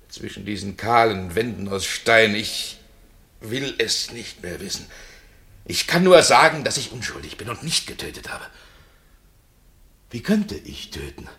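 A man's voice: medium pitch, bright, forceful tone, heavily acted. An actor playing a desperate condemned man who pleads his innocence with theatrical passion in a radio play. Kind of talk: 1960 German radio drama acting.

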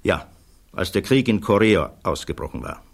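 An actor playing a thoughtful physicist giving measured, earnest testimony in a German radio play. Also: male voice, low voice, animated, vocal fry, exaggerated voice. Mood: grave, troubled. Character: thoughtful male physicist witness, earnest, troubled by conscience.

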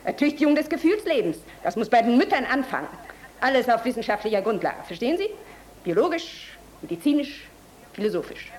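Brazen, high-voiced young fanatic woman. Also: big pitch swings, fairly quick, darkish tone. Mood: fervent, boastful. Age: young adult. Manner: brazen, taunting, fervent. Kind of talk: German radio drama acting.

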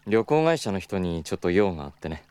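Deep-voiced, teasing young gentleman. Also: sing-song, fast talker, dry recording. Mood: composed, courtly. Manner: smooth, patronizing, knowing. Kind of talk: audio drama acting.

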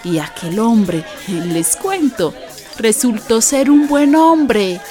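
A woman's voice: on the high side, expressive, takes it slowly, music in the background, a crowd in the background. An actress playing an earthy, chatty Colombian woman narrating a radio drama, confiding and colloquial as she recalls a friend. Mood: fond, reminiscing.

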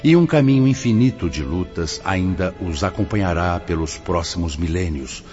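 Deep, solemn spirit mentor in reverberant hall. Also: male voice, animated, normal speed, a little mellow, soft background music. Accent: Brazilian. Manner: solemn, declamatory. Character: solemn, authoritative male spirit mentor leading an assembly. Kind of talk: radio drama acting, oratorical sermon-like address. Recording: muffled, noisy, long hall reverb.

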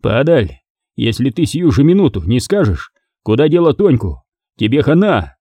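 A man's voice: medium pitch, big pitch swings, moderate pace, mellow, husky, dry recording. Man playing a menacing, sardonic male thug. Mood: angry, threatening. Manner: sardonic, taunting, domineering. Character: menacing male thug, mocking, cold-blooded interrogator.

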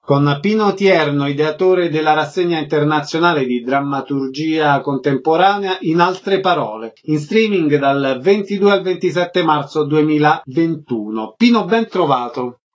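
A man working as a radio host. His voice sits a little high for a man, and he delivers scripted introductions and interview questions. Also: animated, a little slow, few pauses, highs cut off.

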